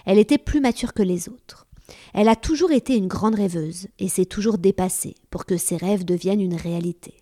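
A woman's voice: medium pitch, brisk, warm tone. A woman hosting a podcast, reading a scripted introduction and then asking friendly, encouraging questions. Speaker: podcast host.

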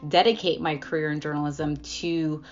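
A woman's voice: sits low, fairly even intonation, moderate pace, muffled recording, soft background music. A woman journalist from the United States telling personal stories in a thoughtful, reflective way in an interview. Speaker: journalist guest.

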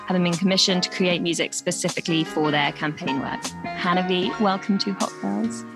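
A woman hosting a podcast over a remote call, relaxed and asking open questions. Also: on the low side, brisk. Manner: easygoing, asks open questions and lets the guest talk. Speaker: podcast host. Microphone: remote video call. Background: music bed.

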